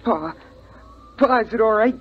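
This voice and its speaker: A man's voice: high voice, expressive, measured pace. A male performer playing an earnest, eager but slightly timid young son in a 1950s radio drama. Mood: hesitant. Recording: muffled vintage 1950s radio recording.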